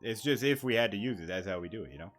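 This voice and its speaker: A man's voice: on the low side, lively intonation, rapid-fire. A man giving casual let's-play commentary, with wry, joking asides. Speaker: gaming YouTuber. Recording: game music underneath. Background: game music.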